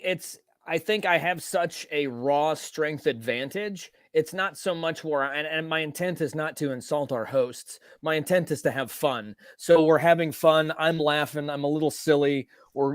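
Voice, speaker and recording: A man's voice: fairly high pitch, fairly even intonation, moderate pace, smooth, no echo, studio quality. Stammering man explaining himself. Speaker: player. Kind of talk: casual in-character table talk.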